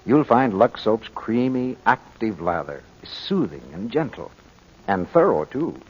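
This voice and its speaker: A man's voice: lowish voice, sing-song, a little slow, a little mellow, slight background noise. A man announcing and advertising in 1940s radio style, on a muffled old broadcast recording.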